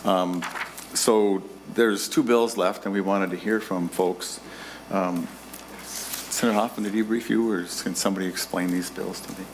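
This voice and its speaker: A man chairing a legislative committee hearing, moving briskly through testimony and hurrying speakers to keep to time. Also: sits low, steady tone, slight background noise, low-quality recording. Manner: brisk, hurries testifiers along.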